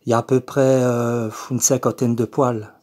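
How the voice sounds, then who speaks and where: A man's voice: mid-range voice, level tone, moderate pace, darkish tone, close to an everyday voice. A man voicing a confused, polite eighty-year-old woman with a Belgian French accent, hesitant and repetitive, as in a prank phone call.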